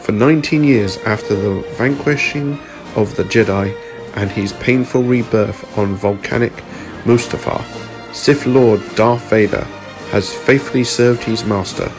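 A man's voice: on the low side, unhurried. A man reading scripted narration for a space fantasy story, as its narrator. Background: music under the voice.

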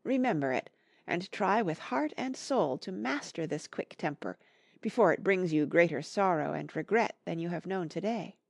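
Woman acting a gentle, creaky-voiced mother. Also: on the low side, animated, no room sound. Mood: gently warning. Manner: soft, tender, measured moral counsel. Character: gentle, patient middle-aged mother, wise and humble. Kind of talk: acted audiobook dialogue from a classic novel.

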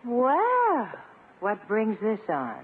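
Coy sweetheart on tinny radio. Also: female voice, a little high, wildly swooping pitch, very slow, heavily acted. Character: eager, coy lady sweetheart of a bachelor. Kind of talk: 1950s radio comedy acting. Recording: tinny, faintly noisy vintage radio broadcast.

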